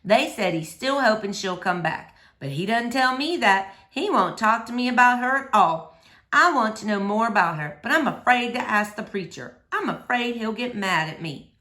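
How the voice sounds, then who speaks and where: A woman's voice: fairly high pitch, lively intonation, normal speed, bright, forceful tone. A woman voicing an earnest, wistful ten-year-old girl who confides plainly and eagerly in a storybook reading. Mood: wistful, hushed.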